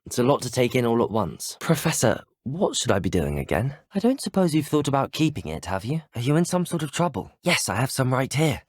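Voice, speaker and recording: An actor playing a polite, earnest teenage student wizard in a video game, with a British accent and courteous, articulate speech. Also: male voice, mid-range voice, sing-song, fairly quick, hardly any pauses, husky, no echo. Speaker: video game player character. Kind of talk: scripted game dialogue.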